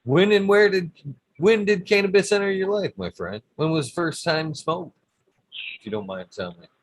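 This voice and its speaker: A man's voice: high voice, lively intonation, moderate pace, no echo. A man hosting a casual interview over a video call, friendly and apologetic, calling his guest "my friend.". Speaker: host.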